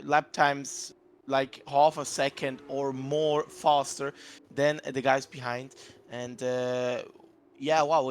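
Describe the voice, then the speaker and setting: A man co-commentating a simulated race, hesitant and full of fillers. His voice sits at an ordinary middle pitch, with faint noise behind it. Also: fairly bright tone. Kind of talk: live esports race commentary.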